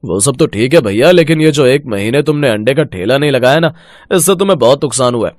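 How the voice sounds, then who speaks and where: A man's voice: mid-range voice, animated, quick pace, darkish tone, dry recording, clean studio sound, natural voice. A man playing a chatty, outspoken street-food customer in a moral story, with a familiar manner. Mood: frank.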